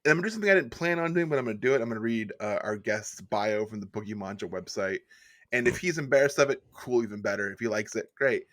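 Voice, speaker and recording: A man co-hosting a casual podcast, goofy and joking, riffing with drawn-out deadpan bits. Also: lowish voice. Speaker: podcast co-host. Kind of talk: casual chat.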